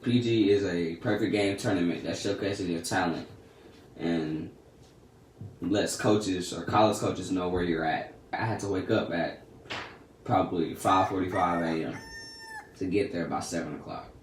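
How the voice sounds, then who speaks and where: A man's voice: sits low, unhurried, reverberant, distant mic, a little hiss or hum. A teenage boy telling a story to camera in a casual, slangy, chatty way. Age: teens. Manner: laid-back, slangy and chatty. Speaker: vlogger.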